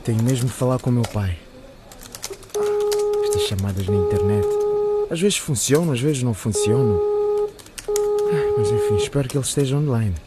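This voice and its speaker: A man's voice: mid-range voice, normal speed, long pauses, very noisy, natural voice. A man playing an impatient, restless teenage schoolboy in a radio drama.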